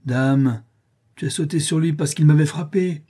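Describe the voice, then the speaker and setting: A man's voice: a little high, moderate pace, mellow, pitched above the performer's own voice, natural voice. A man doing a vengeful grave robber. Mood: scheming. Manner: threatening, bitter.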